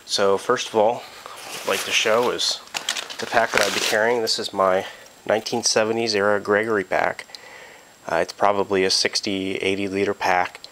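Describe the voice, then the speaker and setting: A man with a slightly low voice who is getting over a cold. He talks in a loose, easygoing way, filling pauses with ums and uhs as he explains his kit. He is heard through a handheld camera's mic in a carpeted room at home. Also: little pitch movement, sharp tone, a little hiss or hum. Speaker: prepper vlogger. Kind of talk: casual gear walkthrough.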